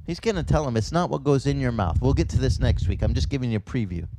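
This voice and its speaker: Animated, chatty pastor preaching outdoors. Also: male voice, medium pitch, noisy background. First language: Italian. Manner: conversational, animated storytelling with quick asides and restarts. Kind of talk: sermon.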